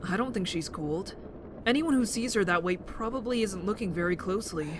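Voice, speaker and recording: Clear vehicle noise sits behind a woman playing a soft-hearted teenage boy in an amateur audio drama. The pitch is that of an average female voice. The delivery is gentle, thoughtful and hesitant, with a dry wit. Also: lively intonation, fairly quick, lightly acted. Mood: quiet, thoughtful.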